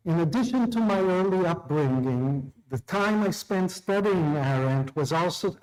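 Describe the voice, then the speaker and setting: Measured Israeli-raised man giving a formal speech. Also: fairly high pitch, darkish tone, rough recording. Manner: measured, deliberate, with small restarts. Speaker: law professor opening a tribunal.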